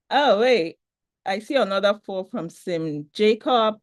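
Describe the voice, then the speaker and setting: A woman's voice: medium pitch, animated, takes it slowly, dry recording. Nigerian lady leading a webinar, chatty n upbeat, dry close sound. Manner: chatty, upbeat, restarts and fillers while reading the chat. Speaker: webinar presenter. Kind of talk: online talk with audience chat.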